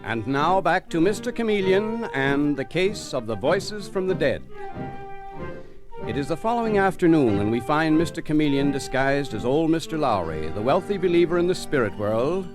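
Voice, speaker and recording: A male vintage radio announcer with a fairly high, very animated voice. His delivery is polished, scripted and emphatic, in a dry recording with faint music. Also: moderate pace. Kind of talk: 1940s scripted radio advertising and narration.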